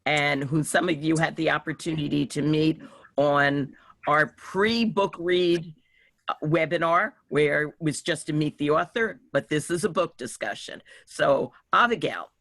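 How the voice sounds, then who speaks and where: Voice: medium pitch, a little slow, fairly bright tone, no echo. A book club moderator introducing a guest and asking questions in a plain, procedural way over a video call. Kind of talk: moderating an online meeting.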